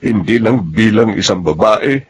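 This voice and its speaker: A man's voice: lowish voice, lively intonation, a little slow. An actor playing an affectionate, ailing old father in a Filipino radio drama, with a Filipino accent. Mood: tender, grateful. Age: older. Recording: faint background noise.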